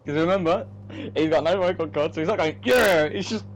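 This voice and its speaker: A man's voice: a little high, brisk, a little hiss or hum. A man chatting casually as a writer about his own story, emphatic and rambling, with some swearing. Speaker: writer of the story being read. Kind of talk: casual chat.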